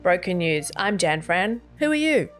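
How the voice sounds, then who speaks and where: An Australian woman co-hosting a podcast in casual chat, with a dry, wry and measured delivery. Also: lowish voice, very animated, faint music behind. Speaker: podcast co-host.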